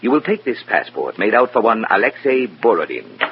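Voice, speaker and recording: An actor playing a stern, contemptuous Communist Party boss with clipped, formal, imperious speech in an old radio drama. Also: male voice, fairly low pitch, sing-song, normal speed, creaky. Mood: cold, authoritative. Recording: dull, noisy, humming old radio recording.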